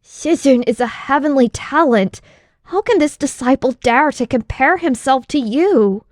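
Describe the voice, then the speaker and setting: A woman's voice: high-pitched, animated, measured pace, no echo, pitched above the performer's own voice. Lady doing a young guy martial arts student, lifted voice, super respectful n formal, audiobook, dry sound. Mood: reverent, fearful. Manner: reverent, formal, humble. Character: deferential young male martial arts disciple, twenties.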